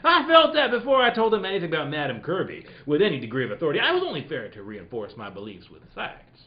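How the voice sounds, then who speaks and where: A man playing a cheeky, bumbling British detective in a comic radio play, halting and mock-formal, with a British accent. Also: on the high side, wildly swooping pitch. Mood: self-assured, halting. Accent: put-on British. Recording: dull, roomy live recording.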